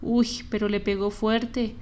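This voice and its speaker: Homeless man, slangy and easygoing, monotone read, as a female narrator puts him on for an audiobook. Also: sits high, slow. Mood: laid-back. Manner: relaxed street slang.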